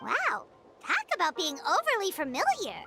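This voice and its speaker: A woman voicing a tiny, chirpy, excitable fairy companion in a video game. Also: moderate pace, exaggerated voice. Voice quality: high squeaky childlike voice. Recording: game audio with music behind.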